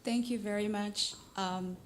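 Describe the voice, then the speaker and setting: A woman's voice: mid-range voice, steady tone, a little slow, slight background noise. A young woman from Afghanistan, speaking earnestly from the audience at a public talk. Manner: earnest, grateful, rising in emphasis. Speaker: audience member. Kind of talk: speaking at a public question time.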